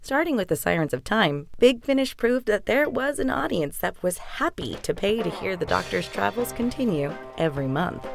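A woman's voice: mid-range voice, very animated, moderate pace, gravelly, few pauses, soft background music. A woman hosting a podcast, reading scripted narration. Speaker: podcast host.

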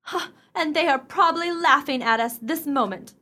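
A woman's voice: high-pitched, normal speed, sharp tone. A woman playing a haughty, self-righteous society clubwoman in a radio play, with a pompous, formal, disapproving way of talking. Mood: resentful.

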